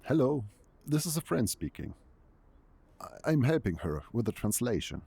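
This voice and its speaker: A performer voicing a dry, matter-of-fact babysitter friend who helps translate, with a husky, gravelly voice. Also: medium pitch, sing-song, normal speed, warm tone, exaggerated voice. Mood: polite. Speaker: helper translating.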